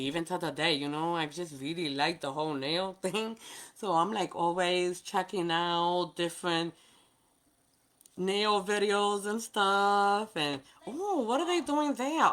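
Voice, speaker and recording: A woman's voice: on the low side, fairly bright tone. Woman, New York accent with Spanish influence, native Spanish speaker, chatty livestream nail host, laughs often. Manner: chatty, talks to viewers by name, laughs often. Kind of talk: casual live chat while demonstrating.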